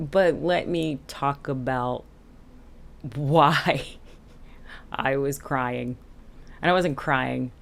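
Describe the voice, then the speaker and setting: Very low-voiced woman, candid vlogger. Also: creaky. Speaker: boat captain and video host. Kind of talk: casual explanatory vlog to camera.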